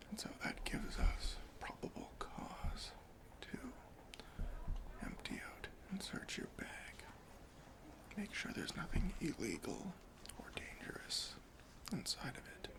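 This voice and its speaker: An ASMR performer acts out a stern chief of airport security who interrogates in a whisper with a gravelly, creaking edge. The questioning is officious and suspicious, and the pitch moves around a good deal within the hushed delivery. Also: exaggerated voice. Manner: slow, deliberate, officious questioning.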